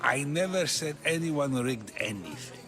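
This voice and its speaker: An actor playing a probing, articulate ancient Athenian philosopher in a video game, musing and questioning. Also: male voice, mid-range voice, very animated, a little slow. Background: game music.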